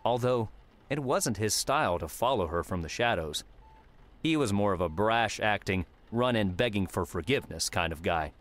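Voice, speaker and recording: A man's voice: fairly low pitch, expressive, normal speed, fairly bright tone. A man narrating scripted third-person fiction as an audiobook narrator. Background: music.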